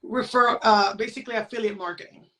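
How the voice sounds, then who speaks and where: A woman's voice: a little high, fairly even intonation, quick pace, sharp tone. Woman in her forties, chatting. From San Juan, Puerto Rico. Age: late 40s. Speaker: podcast guest, crypto educator. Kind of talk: casual conversational interview.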